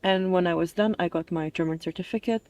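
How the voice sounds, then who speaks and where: A woman's voice: fairly low pitch, fast talker, mellow, no room sound. A woman in her twenties who grew up in Dubai, answering questions in a casual, conversational way as an interview guest. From American who grew up in Dubai. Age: 20s. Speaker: interview guest, master's student.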